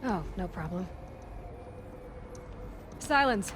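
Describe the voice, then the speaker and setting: A woman's voice: mid-range voice, animated, slow pace. A woman playing a determined, wary young warrior heroine in a video game, earnest and blunt, over noisy ambience. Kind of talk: dramatic video game dialogue.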